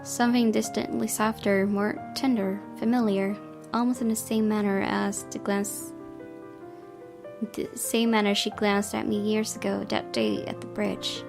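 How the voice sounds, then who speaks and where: A woman's voice: mid-range voice, level tone, a little slow, gravelly. A woman reading a visual novel aloud as a gaming host, dramatic in delivery and sometimes stumbling, over guitar music. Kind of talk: expressive read-aloud narration of visual novel.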